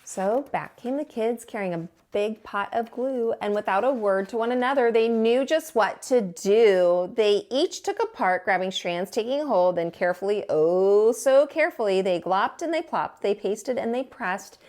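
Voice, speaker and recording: A woman reading a picture book aloud as a teacher would at storytime, expressive, with theatrical drawn-out emphasis on words. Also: medium pitch.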